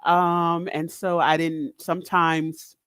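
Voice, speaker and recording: A middle-aged woman from the Northeast US with a big, deep, carrying voice. She talks in a direct, candid way and laughs often. The sound is close and dry, with no room echo. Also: flat delivery, takes it slowly, a bit bright, smooth. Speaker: motivational speaker, guest. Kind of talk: casual podcast chat.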